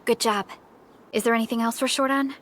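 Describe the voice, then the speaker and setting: A woman voicing a calm, helpful second-in-command in scripted video game dialogue. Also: on the high side, brisk, natural voice. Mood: composed, attentive. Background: game music.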